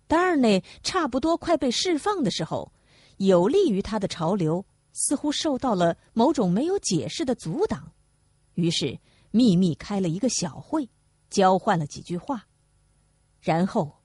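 Husky woman narrator with lively intonation. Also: medium pitch, no room sound, studio quality. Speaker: audiobook narrator. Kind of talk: scripted audiobook narration.

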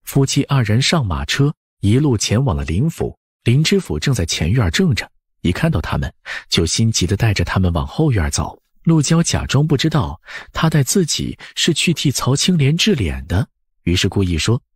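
一位男性有声书播讲人，用富有表现力的方式照稿讲述故事。. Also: male voice, mid-range voice, very animated, moderate pace, husky, no echo, studio quality. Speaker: audiobook narrator. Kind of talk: expressive scripted story narration.

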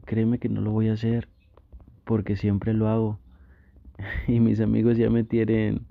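A man with a Mexican accent playing a flustered, easily tempted gamer boyfriend in an intimate ASMR roleplay, talking in a halting, coaxing way. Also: lowish voice, creaky, dry recording, highs cut off.

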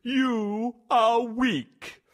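A man's voice: very high-pitched, fairly even intonation, drawn-out words, voice pushed up, exaggerated voice. Proud lion king, grandly drawn out. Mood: mocking amusement. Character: big proud male lion king, blustering, condescending. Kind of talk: storybook character voice.

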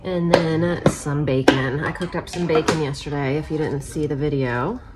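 A woman's voice: sits low, steady tone, moderate pace. A woman in her thirties narrating casually while cooking at home, thinking aloud as she works. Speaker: homemaking vlogger. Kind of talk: casual kitchen vlog narration. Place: home kitchen, indoors. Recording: noisy kitchen with clicking sounds.

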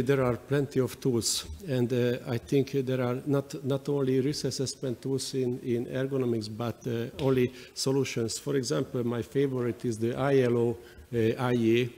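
Halting Hungarian man at a lectern. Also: mid-range voice, dark tone. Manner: halting, many fillers and repeated words. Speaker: panel speaker. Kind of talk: conference panel answer. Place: large wood-panelled conference hall. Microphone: lectern microphone. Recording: long hall reverb.

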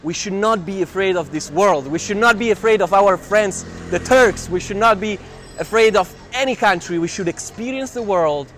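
A man in his twenties with an Italian accent, talking to camera as a travel filmmaker in an animated, exclamatory way, often repeating phrases. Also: high-pitched, normal speed. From Italian family, raised near Milan. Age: 20s. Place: outdoors in city squares and streets. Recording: clear urban street noise.

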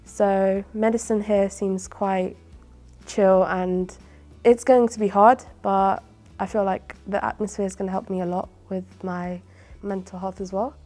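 A young woman with a London accent talks in a relaxed, chatty way, her voice mellow and warm. Faint music sits under her answers. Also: medium pitch, measured pace. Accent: London English. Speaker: medical student. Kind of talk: interview answers.

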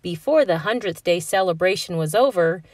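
A woman's voice: lowish voice, lively intonation, normal speed, a little mellow, no echo, studio quality. A woman narrating a children's picture book as a scripted read-aloud.

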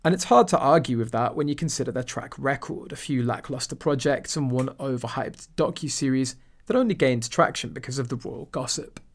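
A man's voice: mid-range voice, fairly quick. Man narrating with a snide, mocking edge. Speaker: narrator of a gossip channel. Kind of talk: scripted voice-over narration.

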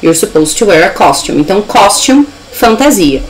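Young Brazilian woman, mid-pitched, informal online English teacher who enunciates her example phrases. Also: sing-song, normal speed, low-quality recording. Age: young adult. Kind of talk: informal video lesson.

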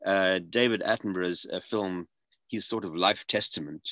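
A man in his seventies from South Africa, a guest on a video call interview, speaking earnestly and halting over repeated starts. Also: low-pitched, fairly bright tone, no echo, clean studio sound. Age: 70s. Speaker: guest filmmaker. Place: home room.

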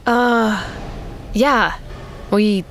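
An actress playing an exasperated, impatient mech crew member in a sci-fi audio drama. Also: female voice, medium pitch, very slow, heavy background noise. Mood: dry, impatient.

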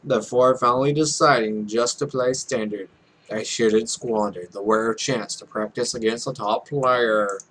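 Young man reading slowly, sweary let's player. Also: mid-range voice, fairly even intonation, fairly bright tone, low-quality recording. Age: young adult. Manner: irreverent, sweary. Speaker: let's play host. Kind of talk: let's play, reading game text aloud with casual asides.

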